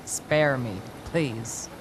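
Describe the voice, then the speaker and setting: Guarded, low-key young heroine. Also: female voice, very slow, noisy background, exaggerated voice. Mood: teasing. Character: guarded young heroine with powers, dry and resolute. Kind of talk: video game cinematic acting.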